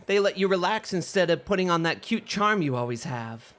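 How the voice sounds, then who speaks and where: Man acting as a high, lilting, coy bunny boy. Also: expressive, fairly quick, a bit bright. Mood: teasing. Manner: coy, teasing, playful. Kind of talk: ASMR roleplay acting.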